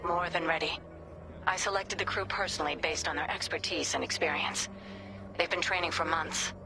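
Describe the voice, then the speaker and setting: Lady playing composed military general in a space game, creaky voice, music behind. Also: on the low side, fairly even intonation, moderate pace, lightly acted, poor audio. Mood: composed, concerned. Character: steady, supportive woman general, cautioning a colleague. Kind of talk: video game voice acting.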